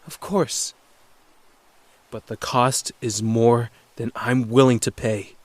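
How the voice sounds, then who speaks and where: A man's voice: mid-range voice, little pitch movement, takes it slowly, no room sound. A man playing an earnest, determined young fire-wielding superhero in an audio drama, with dramatic, heartfelt delivery. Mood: resolute.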